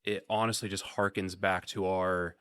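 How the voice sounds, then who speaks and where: A man's voice: deep voice, level tone, normal speed, a bit bright, clean tone, dry recording, studio quality. An American man, an actor, speaking conversationally in an interview.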